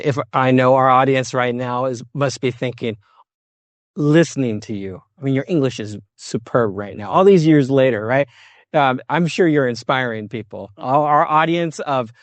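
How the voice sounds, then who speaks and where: A man's voice: medium pitch, normal speed, no echo. A middle-aged American man hosting a podcast interview, chatty and friendly, laughing often. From United States. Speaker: podcast host. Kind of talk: casual interview.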